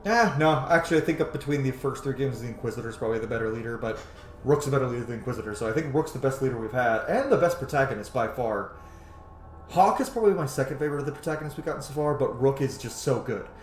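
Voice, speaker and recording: A man's voice: medium pitch, lively intonation, quick pace, a little hiss or hum. A man giving casual, opinionated gameplay commentary in informal, sweary language. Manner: profane, informal. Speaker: video game streamer giving commentary. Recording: roomy echo, faint game music behind. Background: faint game music.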